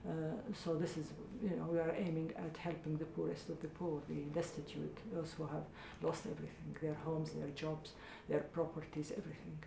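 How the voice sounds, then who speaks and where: A woman's voice: little pitch movement, moderate pace, gravelly, few pauses. A middle-aged woman from Iraq answering interview questions about her charity, speaking earnestly with many hesitations. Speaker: charity founder. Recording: echoey room with faint rumble.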